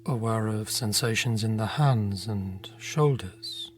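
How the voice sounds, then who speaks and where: A man narrating a guided meditation in a gentle, unhurried manner, leaving long pauses between phrases. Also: lowish voice, a little hiss or hum. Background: meditation music with singing bowl tones.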